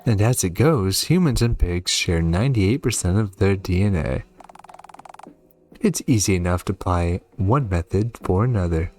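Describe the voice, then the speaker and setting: A man's voice: sits low, animated, normal speed, darkish tone. A man giving commentary on a horror game, reacting and reading on-screen text aloud. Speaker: gaming video host. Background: game music.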